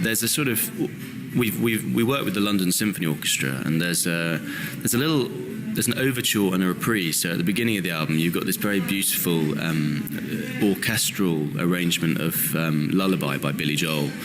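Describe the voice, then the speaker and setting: A man with a very low, deep voice speaks as an interview guest, stumbling and restarting as he talks. Faint room ambience sits behind him. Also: background noise. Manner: hesitant, with many restarts and fillers. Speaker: interview guest, actor and singer. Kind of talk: casual interview talk.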